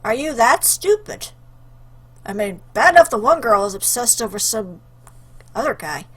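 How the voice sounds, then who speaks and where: Chatty lady playing a game out loud, kinda high voice, jokey casual commentary. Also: sing-song, normal speed, slightly rough. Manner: joking, chatty. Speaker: let's play host. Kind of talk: casual playful game commentary with read-aloud choices.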